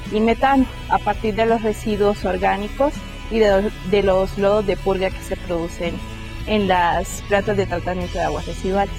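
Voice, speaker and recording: A woman's voice: medium pitch, darkish tone. A woman from Colombia with a Colombian accent, a master's student explaining her research fluently. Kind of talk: interview testimonial.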